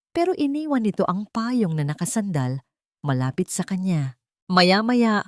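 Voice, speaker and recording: A woman's voice: fairly low pitch, dark tone, no echo, studio quality. A woman reading a scripted, dramatic love story as its narrator.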